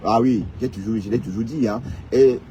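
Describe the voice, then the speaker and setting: A man's voice: medium pitch, fairly quick, noisy background. A man from Cameroon with a Cameroonian French accent, ranting and teasing viewers in a casual live stream, often tagging on "hein". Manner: teasing, mocking, peppered with "hein".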